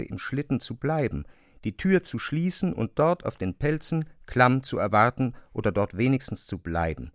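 A man with speech narrating a literary classic in a measured, expressive way. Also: fairly low pitch, dry recording, clean studio sound. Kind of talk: literary audiobook narration, dramatized radio reading.

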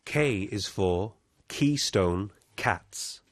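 Very slow, low-voiced male announcer. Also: animated. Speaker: announcer of chapter titles. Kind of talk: scripted alphabet title announcements.

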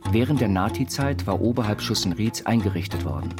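An actor playing an eccentric self-taught inventor, narrating his life story in measured, quaintly formal phrases for an audio biopic. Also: fairly low pitch, expressive, close to an everyday voice. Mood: sober recollection. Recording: studio voice over loud music.

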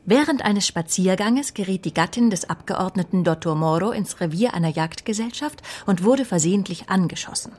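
An actress playing a cool, clever police secretary in a radio crime drama, poised and slightly sly. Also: female voice, medium pitch, lively intonation, moderate pace, hardly any pauses, darkish tone, close to an everyday voice. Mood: matter-of-fact. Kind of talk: natural radio play acting.

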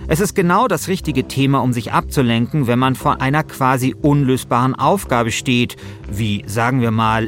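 A man speaks as a podcast host in a small studio. His voice sits at a middle pitch, shifting between written narration and casual talk, with soft music underneath. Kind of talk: scripted narration mixed with casual chat. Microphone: studio microphone.

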